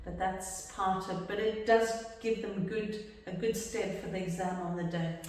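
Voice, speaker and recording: A woman who trains teachers for speaking exams, answering questions in a relaxed, chatty way. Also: medium pitch, moderate pace. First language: English. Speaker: exam trainer. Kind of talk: casual Q&A discussion. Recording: echoey room from far off.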